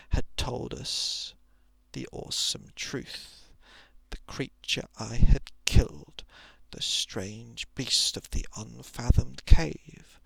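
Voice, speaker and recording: Very creaky, hushed ASMR man storyteller. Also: mid-range voice, dry recording. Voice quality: soft, breathy, often dropping into whisper. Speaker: ASMR narrator reading a short horror story. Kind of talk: ASMR story reading, scripted narration. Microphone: close microphone.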